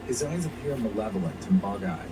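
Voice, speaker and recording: A man's voice: mid-range voice, expressive, fairly quick. A man reading scripted narration for a countdown video, heard as played back. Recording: distant, noisy playback of a video.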